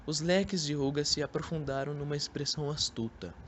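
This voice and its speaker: A man's voice: a little high, steady tone, fairly quick, fairly bright tone. A young man with a Brazilian accent reading scripted literary narration for an amateur radio drama. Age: young adult. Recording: amateur recording with background noise.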